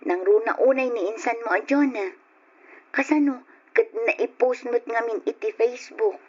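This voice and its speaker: A woman as a husky, moralizing Ilocano matron. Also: on the high side, normal speed, natural voice. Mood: gossipy, disapproving. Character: gossiping, moralizing wife and sorrowful departing mother. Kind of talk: old Ilocano radio drama acting. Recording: dull lo-fi radio drama recording.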